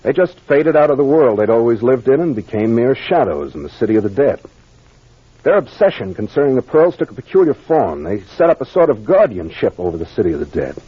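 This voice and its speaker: An American man playing a confident detective captain in a 1940s radio mystery, explaining things deliberately and with authority. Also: mid-range voice, very animated, breathy edge. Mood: assured, explanatory. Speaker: actor playing the investigator. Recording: muffled old radio recording.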